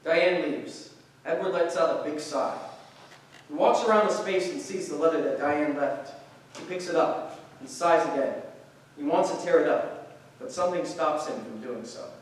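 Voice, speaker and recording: A man's voice: fairly high pitch, unhurried, long pauses. A man reading stage directions as scripted narration for a staged play reading. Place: echoey room at a staged reading. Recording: very echoey, distant, faint noise.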